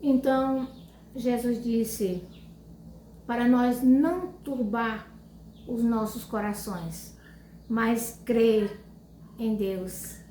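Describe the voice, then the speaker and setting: Older woman, religious testimony, slightly high voice, slow and repetitive, echoey room with faint background noise. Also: steady tone, mellow. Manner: speaks slowly with pauses and repetitions, fervent. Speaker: lay preacher giving testimony. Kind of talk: informal religious testimony and Bible reading.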